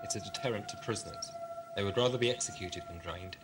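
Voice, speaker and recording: A man's voice: fairly low pitch, fast talker, over music, lightly acted. A man playing a sceptical, sarcastic prison-ship commander in a fan audio drama, with a clipped, suspicious manner. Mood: stern. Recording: loud sound bed, old cassette drama.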